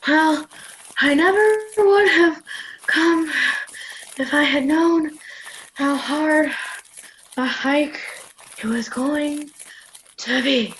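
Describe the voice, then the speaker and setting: A teen girl voices a sulky hiker who grumbles about every step of the trail. The voice sits high and the lines drag slowly. A faint noise runs under this home-recorded radio play. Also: a bit bright, full character voice, poor audio. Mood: complaining. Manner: complaining, grumbling. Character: whiny girl named Lauren, complaining hiker. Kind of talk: student radio play reading. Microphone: home mic on a video call.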